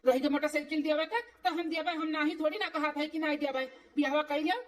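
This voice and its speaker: An anxious village father from a cartoon comedy, voiced by a woman, high in pitch and a little off the mic. He pleads and laments in a thick stream of Awadhi-accented worry. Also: fairly even intonation, a little fast, recorded from a distance, close to an everyday voice. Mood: pleading.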